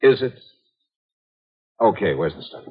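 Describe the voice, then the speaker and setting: An actor playing a brisk, hard-boiled male insurance investigator in a 1950s radio drama, clipped and wry. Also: fairly low pitch, sing-song, breathy edge, heavily acted. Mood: firm, pressing. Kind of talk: 1950s radio detective drama, acting and narration. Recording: muffled 1950s radio recording, music beneath.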